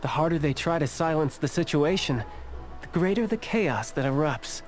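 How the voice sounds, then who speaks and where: A man playing a smooth, teasing young agent of a shadowy organisation in a video game, speaking in a measured, knowing, theatrical way. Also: on the high side, animated, close to an everyday voice. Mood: amused, sly. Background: game music.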